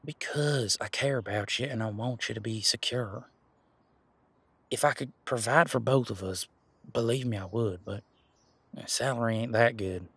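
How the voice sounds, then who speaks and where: A man's voice: mid-range voice, normal speed, fairly bright tone, breathy edge, no echo. A man playing an easygoing, protective werewolf in an audio roleplay, talking in an informal, frank and chatty way. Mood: earnest, reassuring. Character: easygoing, protective male werewolf, imposing but responsible. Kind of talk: casual conversational audio roleplay monologue.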